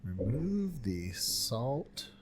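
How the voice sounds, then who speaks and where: A man's voice: mid-range voice, very animated, drawn-out words, slight background noise. A man co-hosting a podcast in casual, colloquial banter, given to chuckling and the odd swear word. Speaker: podcast co-host.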